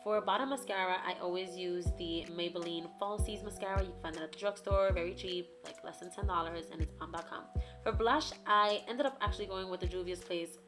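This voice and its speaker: Young woman, hoarse and raspy, casual chatty tutorial read, lowish voice, music loud behind. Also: brisk. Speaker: beauty vlogger. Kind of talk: makeup tutorial voice-over. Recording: voice-over with loud background music.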